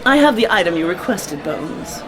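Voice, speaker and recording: A woman's voice: fairly low pitch, big pitch swings, normal speed, crowd noise. An actress playing a flirtatious, dramatic woman who runs an occult bookstore in an audio drama. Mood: teasing.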